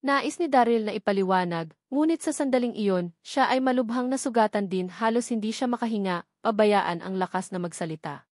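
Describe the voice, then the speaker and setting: A woman's voice: medium pitch, brisk, a little mellow, no echo, studio quality. A woman reading a scripted story as an audiobook narrator.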